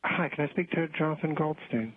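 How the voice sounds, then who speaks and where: A man's voice: on the high side, brisk, over music, close to an everyday voice. A man playing a hesitant, polite caller, an old acquaintance, in radio comedy over the phone. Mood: tentative, friendly. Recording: tinny, thin phone line.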